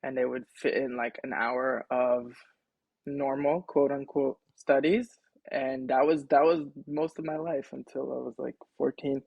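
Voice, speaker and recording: A young man from Israel, a podcast guest, telling his story in relaxed casual talk with wry asides and many fillers, recorded on his own mic at home. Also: mid-range voice, unhurried, gravelly, breathy edge, dry recording, lo-fi sound. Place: home setup, self-recording.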